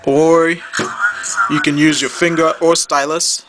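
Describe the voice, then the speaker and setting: A man's voice: a little high, level tone, slow pace, background noise. A man giving an unscripted how-to demonstration as a seller, explaining things plainly, step by step. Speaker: product demonstrator and phone seller.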